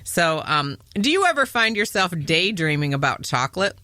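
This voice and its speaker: A woman in her thirties from Michigan hosting a podcast in casual chat, bubbly and quick to laugh. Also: lowish voice, very animated, bright, forceful tone, no echo. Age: 30s. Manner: bubbly, laughs often, cheers. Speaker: podcast host.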